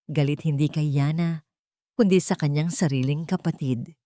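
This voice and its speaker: A woman narrating a scripted dramatic story in a solemn, emotive, measured way. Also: sits low, dark tone, dry recording, studio quality.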